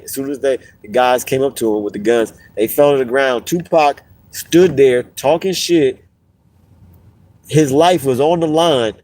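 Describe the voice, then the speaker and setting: A man hosting a casual podcast livestream, telling stories with lots of repeats and restarts, sniffling as he gets over a cold. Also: medium pitch. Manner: animated storytelling, repeats and restarts phrases. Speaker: podcast host.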